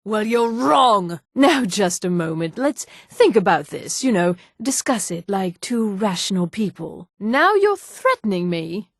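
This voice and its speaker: Fantasy game high elf woman: haughty, poised, articulate, theatrical, mid-pitched, dry studio sound. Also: very animated, a little slow, hardly any pauses, no room sound. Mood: guarded. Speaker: video game voice actor. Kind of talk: acted video game dialogue.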